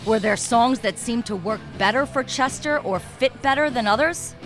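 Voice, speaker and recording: A woman reporter narrating an entertainment news segment in a smooth, upbeat scripted delivery. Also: fairly high pitch, moderate pace, over music.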